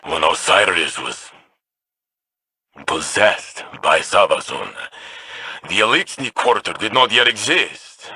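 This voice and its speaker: Deep, husky, slow ancient alien sage, dry close sound. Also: male voice, animated, sharp tone, dry recording, full character voice. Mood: solemn. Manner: slow, solemn, counseling. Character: ancient, wise male alien elder, calm. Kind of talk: video game character dialogue.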